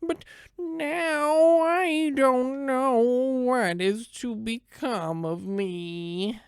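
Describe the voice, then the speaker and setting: An elderly cat from a storybook, feeling very sorry for itself. The voice is lifted high and very slow, with plaintive, stretched words. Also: male voice, clean tone, dry recording, studio quality, heavily acted. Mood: doleful. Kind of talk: animated storybook character voice.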